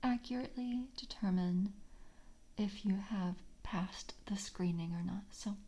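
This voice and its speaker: A woman voicing a calm, jaded vampire screener in an ASMR roleplay, speaking softly in a breathy half-whisper with a measured, politely official manner. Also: medium pitch. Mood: calm, businesslike. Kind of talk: soft-spoken ASMR roleplay.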